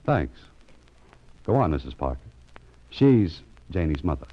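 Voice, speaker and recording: An actor playing a calm, wry private investigator in 1940s radio style, speaking in a dry, understated manner. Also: male voice, very deep voice, unhurried, heavily acted. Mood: matter-of-fact. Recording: dull 1940s radio recording, music behind.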